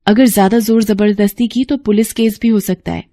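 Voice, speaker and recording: A woman's voice: medium pitch, fairly even intonation, moderate pace, warm tone, no echo, studio quality, lightly acted. A woman voicing a sly young daughter in a cartoon, coaxing and conspiratorial as she schemes. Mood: sly, persuasive. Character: sly young daughter plotting against her sister-in-law.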